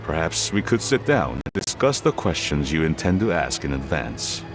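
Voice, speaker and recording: An actor voicing a cautious older male tea merchant who speaks slowly and deliberately, in a game with music. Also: a bass voice, big pitch swings. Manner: slow, deliberate, careful. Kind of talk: game voice acting. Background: game background music.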